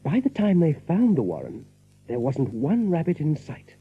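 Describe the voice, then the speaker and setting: An actor as a grave storyteller, giving scripted dramatic narration of an adventure tale. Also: male voice, fairly high pitch, huge pitch swings, moderate pace, soft background music. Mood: suspenseful. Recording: thin phone-like old tape, hiss.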